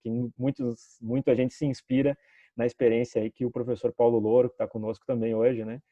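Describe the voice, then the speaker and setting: A man's voice: medium pitch, little pitch movement, a little fast, no room sound. A man from southern Brazil on a video call, giving formal greetings and rambling with many filler tags and restarts. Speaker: city education representative. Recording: dull lo-fi call audio.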